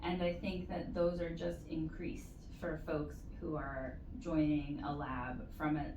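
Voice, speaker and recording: A woman giving measured, explanatory advice as an interview guest, in a conversational way with stretched emphasis. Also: low voice, flat delivery, lo-fi sound. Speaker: interview guest, university postdoctoral support staff. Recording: roomy echo, distant mic, faint noise.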